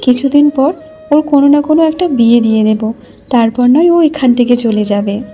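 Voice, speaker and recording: A woman's voice: on the high side, normal speed, mellow, faint music behind, lightly acted. A woman playing a devoted, anxious young married village sister in a cartoon folk tale, pleading and worried.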